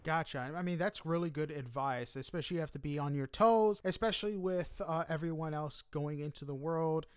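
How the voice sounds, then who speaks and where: A man's voice: fairly high pitch, brisk, hardly any pauses, smooth, no room sound. A man hosting a casual podcast interview, speaking informally and encouragingly to a guest.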